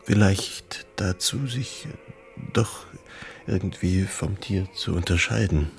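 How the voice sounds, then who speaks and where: An actor playing a weary, sickly Romantic poet in a literary radio play, speaking in a halting, pensive way. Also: male voice, low voice, gravelly, heavily acted.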